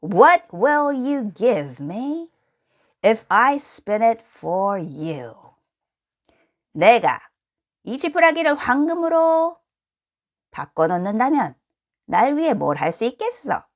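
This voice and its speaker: A woman's voice: a little high, sing-song, very slow, long pauses, heavily acted. A woman voicing a tiny magical little man from a storybook, formal, probing and bargaining.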